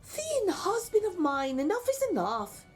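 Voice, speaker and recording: A woman's voice: very high voice, huge pitch swings, unhurried, soft background music, pitched above the performer's own voice, full character voice. A woman voicing a tender but firm giant's wife from a storybook folktale, coaxing as she talks. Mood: gently firm. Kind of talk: storybook character voice.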